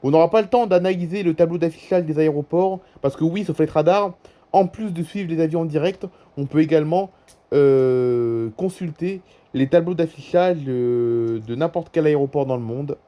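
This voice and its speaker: A man's voice: on the high side, normal speed, warm tone. A man with a French accent giving casual, off-the-cuff commentary as a YouTuber, often repeating himself and prompting viewers to look. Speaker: YouTuber presenting a flight-tracking app.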